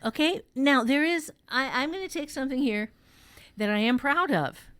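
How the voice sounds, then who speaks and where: A woman's voice: high voice, animated, moderate pace, fairly bright tone. A woman hosting a podcast workshop, talking informally and candidly, telling personal stories emphatically and swearing freely. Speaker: podcast host and workshop teacher. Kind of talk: casual workshop chat and personal storytelling.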